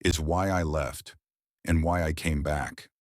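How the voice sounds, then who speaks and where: A male narrator voicing a tired, haunted man in an audiobook thriller, speaking in a hushed, grave way. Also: a bass voice, fairly even intonation, slow pace, no room sound, studio quality. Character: weary, haunted man with a secret.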